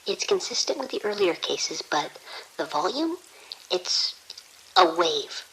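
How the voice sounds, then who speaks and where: A woman's voice: on the low side, big pitch swings, a little slow, fairly bright tone, slightly rough, exaggerated voice, low-quality recording. A woman playing an overwhelmed hospital nurse in an audio drama, reporting in a clipped, urgent way. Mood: overwhelmed, grave. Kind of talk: scripted audio drama dialogue.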